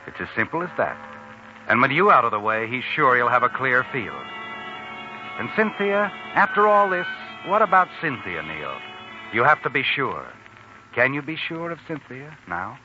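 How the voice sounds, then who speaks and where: A man acting as the knowing, needling narrator of a 1940s radio thriller, dramatic and deliberate, speaking straight to the protagonist. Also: medium pitch, very animated, pushed and bright. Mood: knowing, ominous. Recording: muffled old radio recording, music behind. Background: dramatic music.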